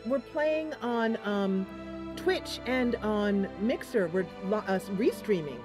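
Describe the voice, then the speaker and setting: A woman streaming a game live, chatting casually and affectionately with her viewers. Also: a little high.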